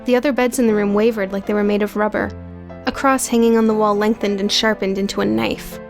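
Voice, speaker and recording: A woman's voice: mid-range voice, flat delivery, fast talker. Female narrator, mid-range voice, scripted story prose with music underneath. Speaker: audio book narrator.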